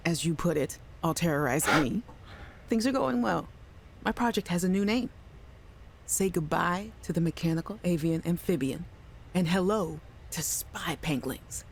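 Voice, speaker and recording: An actress playing a cheerful, affectionate young scientist leaving voice messages for a little sister in a video game. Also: female voice, fairly low pitch, a little hiss or hum, natural voice. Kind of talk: scripted audio message voice acting.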